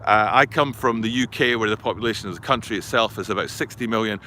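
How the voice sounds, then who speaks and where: A man's voice: fairly low pitch, fast, sharp tone. Rambling man outdoors by traffic. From Scotland. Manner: rambling, self-correcting, chatty. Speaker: vlogger. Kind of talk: live outdoor vlog commentary. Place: outdoors by a river and highway in a city. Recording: faint outdoor street noise. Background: traffic and city ambience.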